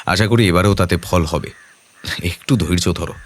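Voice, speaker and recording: An actor playing a self-assured detective in an audio drama, measured and confident, kindly but commanding. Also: male voice, deep voice. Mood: calm, assured.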